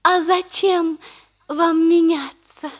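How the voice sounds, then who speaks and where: A woman's voice: very high-pitched, fairly even intonation, slow pace. An actress playing an earnest, sensible young lady in a 1960s radio comedy, genteel and sweetly insistent in a theatrical way. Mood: reassuring. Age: young adult. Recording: 1960s radio play, faint music.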